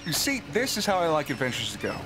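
Here is a man acting out a young treasure hunter who jokes sarcastically at everything. His voice is a touch high and swings widely in pitch. Loud music plays under this fan-made audio drama. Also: wildly swooping pitch, poor audio. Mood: wry. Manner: sarcastic, quick quips. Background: loud music score.

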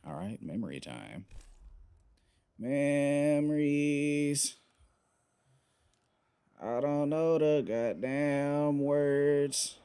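A man's voice: a little high, expressive, very slow, gravelly, pauses often, mellow, clear, resonant tone. A young man giving sarcastic, trash-talking commentary while playing a game. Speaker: gamer. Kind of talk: gameplay commentary.

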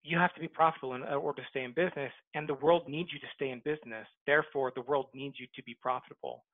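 A man's voice: medium pitch, fairly even intonation, fast talker, dry recording. A man speaking as an interview guest over a headset on a video call. He argues persuasively and comes back to his core point again and again. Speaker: guest, book author. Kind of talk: interview answers. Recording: dry, tinny phone-like call audio.

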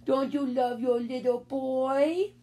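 A woman voicing a mischievous small boy who sweet-talks his mother in a children's storybook, with drawn-out childish speech. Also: high voice, fairly even intonation, mellow, pitched above the performer's own voice. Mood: sweetly wheedling.

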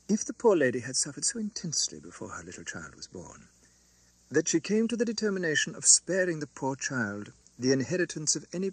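A man's voice: fairly high pitch, fairly bright tone, breathy edge, no echo, heavily acted, poor audio. A man voicing a fussy, flustered, kindly old English banker in an audiobook, formal and businesslike, with a hesitant stammer. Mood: agitated, urging. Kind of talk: dramatised audiobook reading.

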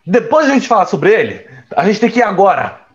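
A man's voice: high-pitched, very animated, a little fast. Guy acting a young rich dude on the run, urgent, voice swings a lot, kinda lo-fi. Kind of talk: audio drama acting.

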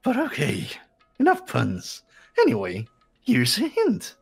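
Casting: evil cartoon teddy bear, gravelly, slow-paced, gleefully taunting, theatrical game villain. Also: female voice, fairly high pitch, wildly swooping pitch, faint music behind, full character voice. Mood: teasing. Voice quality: raspy. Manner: theatrical, taunting, mock-polite. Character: gleefully sadistic robot teddy bear mascot. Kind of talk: video game voice-over dub.

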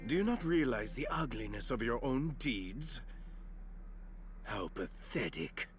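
An actor playing a vain, sadistic masked assassin in a video game, speaking in a theatrical, languid, disdainful way. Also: male voice, medium pitch, very animated, takes it slowly. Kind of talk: video game cutscene acting. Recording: loud game music behind.

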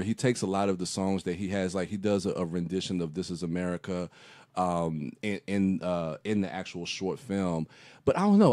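A man's voice: low-pitched, a little mellow, no room sound. A man on a podcast giving a rambling, casual film review full of fillers and restarts, with a slight cough as he gets over a cold. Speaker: podcast co-host.

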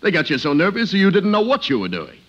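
Husky, teasing lawyer pal. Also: male voice, sits high, huge pitch swings, fast, fairly bright tone, heavily acted. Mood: amused. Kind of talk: 1950s radio comedy acting. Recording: dull old radio recording.

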